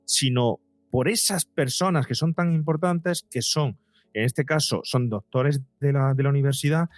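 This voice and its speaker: A man's voice: mid-range voice, normal speed, no room sound. A man answers as an interview guest, explaining conversationally, often repeating and rephrasing as he goes. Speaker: podcast guest, psychologist and documentary creator.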